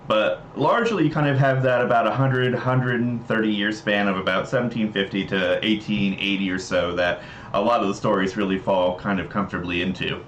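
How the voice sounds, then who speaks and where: A man with a fairly low voice talks as a livestream host, casual and explanatory. He feels his way through sentences with hesitant pauses. The sound is roomy and echoey, slightly muffled, with some faint noise behind him. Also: steady tone, fairly bright tone. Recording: roomy echo, dull mic, noisy background.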